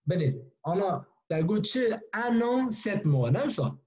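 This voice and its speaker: Male French teacher, high mellow voice, encouraging, repeats phrases, lo-fi class recording. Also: sing-song, slow. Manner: repeats learners' sentences, encouraging, switches languages. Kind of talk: classroom language lesson.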